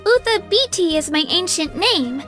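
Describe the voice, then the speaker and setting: Young girl game adventurer, very high pitch, bright sweet tone, earnest, timid yet determined, faint music behind. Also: very animated, moderate pace, heavily acted. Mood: wistful, self-doubting. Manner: earnest, self-doubting, eager to please. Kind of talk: English video game character voice lines.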